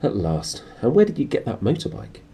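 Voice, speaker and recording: A man voicing a calm old male wizard in a storybook, firm, measured and serious. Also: medium pitch, pitched above the performer's own voice. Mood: assured.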